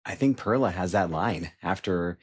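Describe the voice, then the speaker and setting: A man's voice: deep voice, normal speed, husky. A man hosting a podcast, asking friendly, curious questions of his guests. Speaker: podcast host. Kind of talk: casual interview chat.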